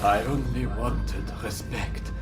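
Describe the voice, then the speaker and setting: An actor playing a haughty aristocratic baron, a video game villain, pompous and disdainful. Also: male voice, mid-range voice, steady tone, slow, loud music behind the voice, natural voice. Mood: pleading. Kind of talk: video game cutscene acting.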